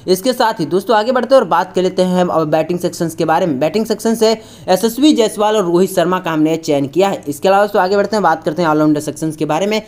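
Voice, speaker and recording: A woman's voice: fairly low pitch, fast, few pauses, a little mellow. A woman narrating a scripted sports preview for an online video, addressing viewers directly in a formulaic way. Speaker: cricket match preview presenter.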